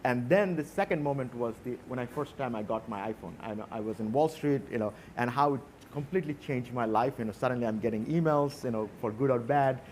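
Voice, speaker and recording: A man's voice: mid-range voice, fairly quick, hardly any pauses, a little mellow, a little hiss or hum. A man from Bangladesh with a Bangladeshi accent, speaking reflectively and telling anecdotes as a conference panelist.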